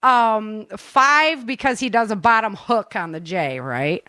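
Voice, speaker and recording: A woman's voice: mid-range voice, a little slow, pushed and bright, dry recording, studio quality. A woman co-hosting a casual online chat, speaking informally and enthusiastically with plenty of slang. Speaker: co-host and audio drama producer. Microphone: online call.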